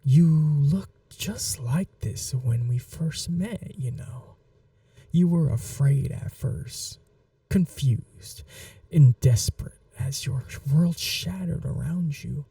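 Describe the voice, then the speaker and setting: Vampire mistress, played by a woman: seductive, possessive, teasing; very dark, creaky, soft breathy delivery for intimate ASMR roleplay. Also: deep voice, no echo, far from the mic, full character voice. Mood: wistful, possessive. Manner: slow, teasing, condescending, coaxing, often whispering.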